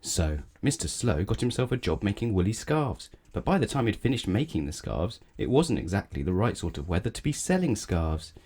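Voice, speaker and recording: A man reading a children's story aloud in an expressive, measured storytelling manner, with chatty asides to the listener. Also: on the low side. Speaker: storybook reader. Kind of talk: children's read-aloud narration.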